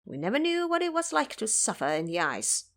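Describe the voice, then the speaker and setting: A woman's voice: fairly high pitch, huge pitch swings, a little fast, a bit bright, full character voice. A woman voicing a bold male monkey disciple with polite but boastful speech in an audio drama. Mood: courteous.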